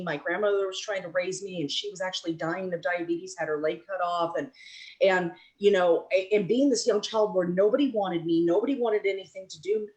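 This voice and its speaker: Woman, webinar presenter, earnest and animated, mid-pitched, computer mic. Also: rough recording. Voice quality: animated, earnest, rises high on quoted reactions. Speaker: webinar presenter on network marketing. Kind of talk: motivational webinar talk. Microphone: computer mic, webinar recording.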